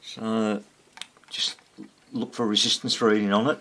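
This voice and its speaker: A man giving casual, unscripted hands-on repair commentary, thinking aloud in short fragments with a husky, gravelly voice. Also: on the low side, little pitch movement, measured pace, pushed and bright. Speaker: hobbyist radio repairer demonstrating on video.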